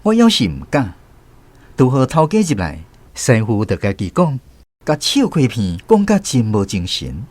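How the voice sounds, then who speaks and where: A man's voice: mid-range voice, wildly swooping pitch, normal speed, darkish tone, dry recording. A man playing a grown storyteller reflecting on his boyhood, narrating a radio drama with a Taiwanese accent. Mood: reflective.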